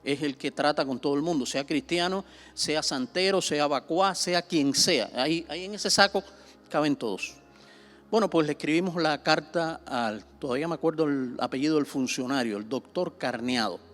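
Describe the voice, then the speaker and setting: A man's voice: a little high, moderate pace, fairly bright tone. A middle-aged Cuban man, a pastor teaching a Bible seminar in a conversational way, with many fillers, repeating himself and pushing up sharply when he stresses a point. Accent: Cuban Spanish. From Cuba.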